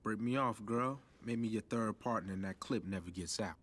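A man's voice: fairly low pitch, moderate pace, a bit bright. An actor playing a cocky young male hip-hop hustler in a video game cutscene, laid-back and full of street slang.

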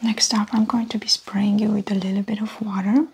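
Woman, soft breathy whisper, ASMR doctor roleplay, gentle step-by-step explanations, noisy recording with clicks. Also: mid-range voice, level tone, brisk. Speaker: ASMR performer playing a doctor. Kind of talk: soft-spoken ASMR medical exam roleplay.

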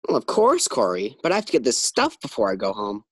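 A man's voice: on the low side, huge pitch swings, brisk, a bit bright, husky, no echo, studio quality, exaggerated voice. A man playing a flustered, boastful, naive husband who stammers when rattled, in an old-time radio sitcom style. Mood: earnest, boastful.

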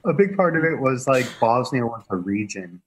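A man appearing as a guest expert, explaining history in a relaxed way and chuckling as he goes, heard over a remote video call. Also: medium pitch, normal speed, husky, poor audio.

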